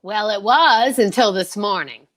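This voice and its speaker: A woman's voice: medium pitch, a little slow, fairly bright tone. A woman playing a worried department store advertising woman in 1940s radio acting. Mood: worried, urgent.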